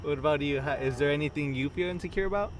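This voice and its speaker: A man's voice: on the high side, rapid-fire, slight background noise. A man born in Mexico whose first language was Spanish, hosting a casual podcast chat with a laid-back, joking manner. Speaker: podcast host.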